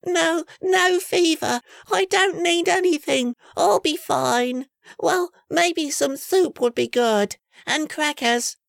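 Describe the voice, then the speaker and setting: A woman voicing a sick young boy prince who talks in a weak, drawn-out whine. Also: high-pitched, gravelly, dry recording, studio quality, pitched above the performer's own voice, heavily acted. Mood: weak, whiny.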